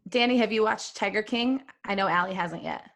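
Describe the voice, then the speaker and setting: A woman's voice: medium pitch, brisk, a bit bright. A woman co-hosting a live podcast, playfully reading out chat comments and teasing her co-hosts. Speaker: podcast co-host.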